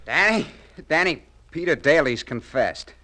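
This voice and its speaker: A man's voice: fairly high pitch, lively intonation, measured pace, over loud music. A man playing a skeptical, dismissive police detective in a 1940s radio crime drama, on an old recording. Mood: brisk.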